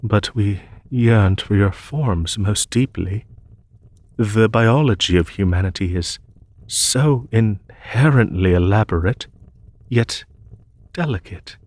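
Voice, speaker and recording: A man playing a solemn, wistful demon emperor in an audio roleplay, speaking in a husky voice with slow, measured, sorrowful pauses. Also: lowish voice, a little mellow, no room sound. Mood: reverent. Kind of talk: scripted audio roleplay monologue.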